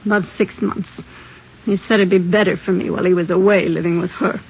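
A woman's voice: on the low side, fairly even intonation, a little fast, gravelly, husky, full character voice. An actress playing a defiant young woman suspected of murder, curt and snapping back, in a 1950s radio drama. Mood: defiant, sullen. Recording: dull old radio broadcast.

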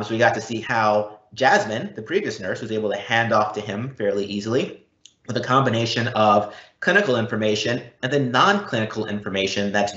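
A man presenting a software demo, explaining it step by step. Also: medium pitch. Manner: explanatory, walking through step by step. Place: indoor room, live event. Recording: dull, roomy live event recording.

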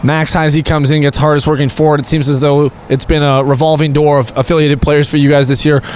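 A man's voice: fairly high pitch, little pitch movement, fairly quick, darkish tone, slight background noise. A young man hosting a postgame interview beside an indoor hockey rink, holding a handheld mic. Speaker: team broadcaster interviewer. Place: indoor hockey arena rinkside.

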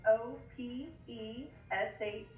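A woman's voice: a little high, steady tone, slow, distant mic. A woman calling in to a radio show, friendly and straightforward. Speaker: radio call-in listener. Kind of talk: phone-in shout out on a radio show. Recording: dull, roomy radio broadcast with music.

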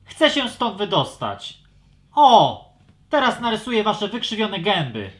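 A woman's voice: mid-range voice, very animated, unhurried. A woman playing a grumpy, teasing young male dragon in a children's audio story, complaining theatrically. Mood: irritated.

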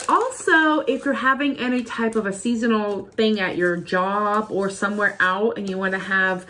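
A woman's voice: mid-range voice, normal speed. A woman in her fifties with a slight cold, chatting casually through a shopping haul in her home office, speaking to a desk camera. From Ohio, USA. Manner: chatty, rambling, lively greeting. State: has a cold, sniffling. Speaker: vlogger. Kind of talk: casual haul video chat. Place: home office with bookshelves, indoors. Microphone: camera at desk distance.

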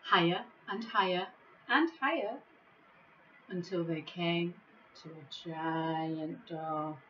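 A middle-aged woman reading a children's storybook in a measured, expressive way, recorded on a device microphone in an office. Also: lowish voice, dull audio, slight background noise. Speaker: school staff member reading a bedtime story. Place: small office, indoors.

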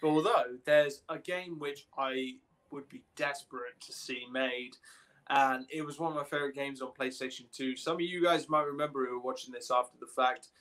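A man's voice: medium pitch, steady tone, moderate pace, fairly bright tone. A young man chatting casually as a podcast co-host on a video call. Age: young adult. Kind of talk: casual chat. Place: indoor room on a video call.

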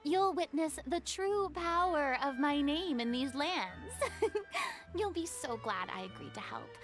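A vain, theatrical young diva. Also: female voice, high voice, very animated, slow pace, fairly bright tone, heavily acted. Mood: haughty. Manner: grandiose, boastful, dramatic. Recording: game audio with music behind.

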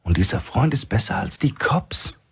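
A man as wary, sceptical husky hostage. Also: mid-range voice, big pitch swings, normal speed, pitched above the performer's own voice, heavily acted. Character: wary, questioning hostage companion.

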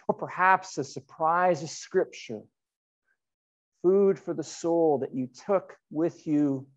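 A middle-aged man, a pastor, giving a sermon in an earnest, deliberate way with rhetorical questions and repetition. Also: sits high, muffled recording. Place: indoors in a church sanctuary.

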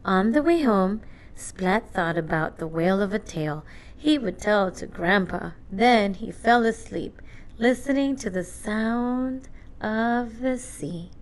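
A woman reading a children's storybook aloud in an expressive, deliberate narrating style. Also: mid-range voice, low-quality recording. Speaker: storybook reader.

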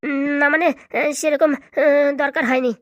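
A woman's voice: high-pitched, fairly even intonation, normal speed, fairly bright tone, no echo, studio quality. A woman voicing a meek young husband who pleads hesitantly in a comic cartoon. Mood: flustered, meek. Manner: hesitant, placating, stammering. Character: meek, pleading young student husband, henpecked son-in-law. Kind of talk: comic cartoon drama dialogue.

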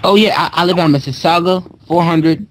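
A man's voice: on the high side, brisk, a little hiss or hum. A young man making a prank call as a customer, talking in casual slang over an internet voice call. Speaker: prank caller posing as a customer. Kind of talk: casual slangy phone conversation.

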